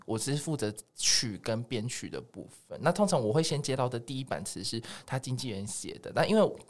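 A man with a Taiwanese accent chatting casually as a guest music producer, telling things in animated detail. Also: mid-range voice, a little fast. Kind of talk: casual podcast interview chat.

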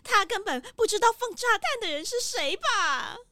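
A haughty, gossipy lady in a kids' radio mystery. She gushes theatrically in a very high, bright voice that swoops up and down. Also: animated, moderate pace, no echo, heavily acted. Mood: scornful. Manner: gushing, theatrical exclamations. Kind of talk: children's detective audio drama.

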